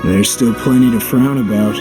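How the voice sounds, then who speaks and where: An actor playing a blunt, stoic but loyal young hero in a video game, speaking in terse, understated phrases. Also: male voice, mid-range voice, moderate pace, over music, close to an everyday voice. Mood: dry, guarded. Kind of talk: dramatic video game voice acting.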